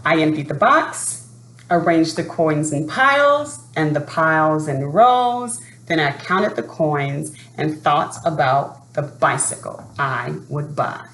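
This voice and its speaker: A woman reading a children's picture book aloud in an expressive, unhurried manner. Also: deep voice. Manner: expressive, unhurried, adds asides to listeners. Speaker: storybook reader. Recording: roomy echo, faint background noise.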